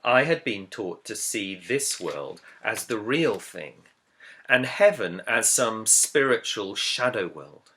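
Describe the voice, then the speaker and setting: Low, bright-voiced man reading aloud. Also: lively intonation, slow. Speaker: author reading own book introduction. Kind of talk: scripted audiobook-style narration.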